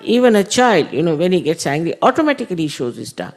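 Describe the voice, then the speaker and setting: A middle-aged man gives an unhurried spiritual talk to followers, explaining with many "uh" pauses. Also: sits high, sing-song. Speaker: spiritual teacher.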